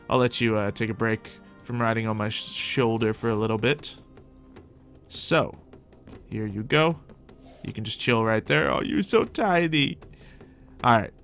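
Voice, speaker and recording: A young man hosting a modded game roleplay, chatting casually as he plays and talks to his pet dragon, with soft music behind him. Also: mid-range voice. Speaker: roleplay gameplay host. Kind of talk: casual gameplay roleplay commentary.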